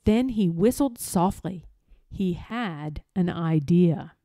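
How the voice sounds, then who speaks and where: A woman reading a children's story aloud in a deliberate, expressive, dramatic style. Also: lowish voice, no room sound, clean studio sound. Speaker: storybook reader.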